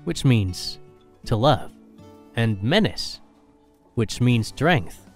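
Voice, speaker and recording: An actor playing a gentle, reassuring young heir to a ranch in a romantic audio drama, speaking softly with a Filipino accent. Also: male voice, mid-range voice. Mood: gentle pride. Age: young adult. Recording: music bed behind voice.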